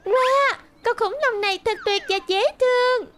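A woman's voice: extremely high pitch, unhurried, a bit bright, slight background noise. A woman voicing a stubborn, confident young girl dinosaur trainer in a children's cartoon, with a boastful, defiant manner. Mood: eager, determined. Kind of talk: animated children's cartoon dub. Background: music bed.

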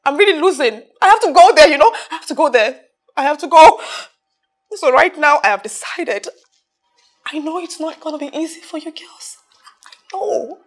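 High-pitched, dramatic Nigerian woman. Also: expressive, moderate pace, a bit bright. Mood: anguished, hesitant. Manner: dramatic, repetitive, pleading. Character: self-pitying, domineering young businesswoman housing her friends. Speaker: actor in a film drama. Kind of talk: emotional film drama acting.

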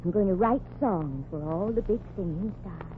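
A woman's voice: on the low side, moderate pace, close to an everyday voice. An actress playing a kindly, naive small-town woman in a 1940s radio comedy, earnest and gushing. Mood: eager. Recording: muffled, noisy 1940s radio recording.

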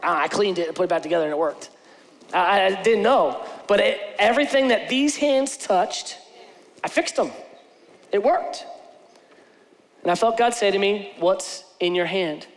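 A man's voice: high-pitched, lively intonation, normal speed, long pauses. A man in his forties, a pastor giving a conversational, earnest sermon from a church stage, often restarting his sentences. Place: large church stage with lighting. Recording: church hall reverb.

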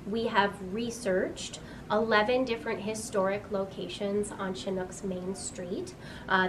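Earnest young woman, off-mic. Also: mid-range voice. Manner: earnest and informative, with frequent "um" fillers. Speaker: museum director. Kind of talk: informal on-camera explanation to a visitor. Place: small museum room indoors. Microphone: camera a few feet away. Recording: distant mic with clear room noise.

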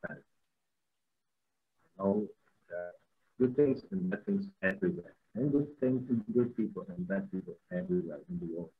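Middle-aged Czech man, earnest webinar guest; slightly low, husky, heard over a dull laptop mic. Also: animated, measured pace, long pauses. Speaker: guest musician. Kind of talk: webinar video call conversation. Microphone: video call laptop mic. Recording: dull lo-fi video call audio.

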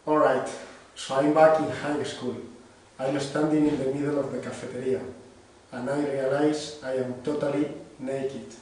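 A man's voice: medium pitch, flat delivery, normal speed, a little mellow, lightly acted. A man with a Spanish accent playing a wisecracking, self-mocking young man in an amateur script reading. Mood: storytelling. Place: wooden-floored room indoors. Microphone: far camera. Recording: echoey room, distant mic, faint noise.

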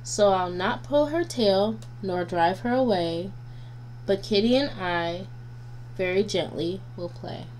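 A woman reading nursery rhymes aloud for children as a guest reader, in a deliberate, sing-song way. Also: mid-range voice, a little mellow. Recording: noisy home recording with hum.

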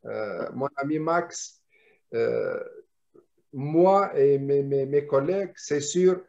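A man's voice: medium pitch, slow pace, gravelly, a little mellow. A man whose first language is Arabic, a draftsman guest explaining his work on a video call with many restarts and repeated words. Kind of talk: casual video call discussion. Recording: muffled lo-fi call audio.

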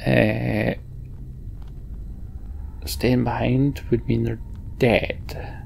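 A man's voice: medium pitch, flat delivery, drawn-out words, creaky, a little mellow, a little hiss or hum. A man hosting a Let's Play, giving casual game commentary and reading the story text aloud.

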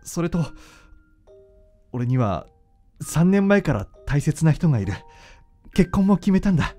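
An actor playing an earnest, intense young doctor, a devoted son, in a radio drama. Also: male voice, fairly high pitch, very animated, unhurried, darkish tone, soft background music. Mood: solemn, grateful. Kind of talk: Japanese radio drama acting.

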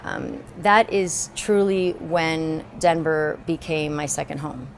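A woman in her forties from Miami, a restaurant owner speaking in a seated outdoor interview, relaxed and full of filler pauses. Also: low-pitched, vocal fry, a little hiss or hum. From Miami, Florida. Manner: relaxed, many filler uhs, seated on a bench. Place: outdoor porch of a small building.

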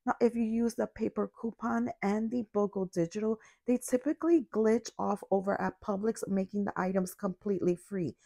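A young woman recovering from a cold talks through a grocery couponing haul in a relaxed voiceover. Her voice is raspy with a gravelly fry. The recording is dry and close. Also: medium pitch, moderate pace, hardly any pauses, no echo. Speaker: couponing vlogger. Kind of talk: casual shopping voiceover. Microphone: voiceover mic.

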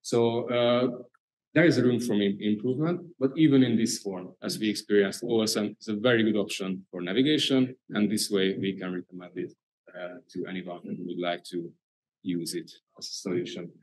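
A man's voice: on the low side, steady tone, poor audio. Hungarian-accented man giving a conference talk. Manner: halting, many filler sounds. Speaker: conference speaker. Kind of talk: conference presentation. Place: conference hall.

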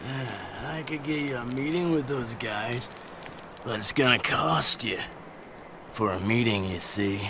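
An actor playing a shady, jittery hippie drug dealer who rambles and repeats himself in stoner slang for a video game. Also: male voice, medium pitch, measured pace, noisy background, close to an everyday voice. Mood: sly, wheedling. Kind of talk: video game cutscene acting.

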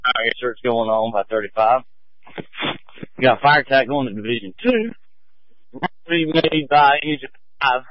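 A man's voice: medium pitch, normal speed, gravelly, pauses often, no echo. A man speaking as a fire incident commander over a two-way radio at a fire scene. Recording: muffled two-way radio transmission.

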